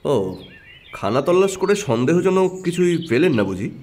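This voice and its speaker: A man's voice: a little high, very animated, normal speed, darkish tone. An actor playing a keen, confident male detective who reasons emphatically in an audio mystery drama. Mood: brisk, inquiring.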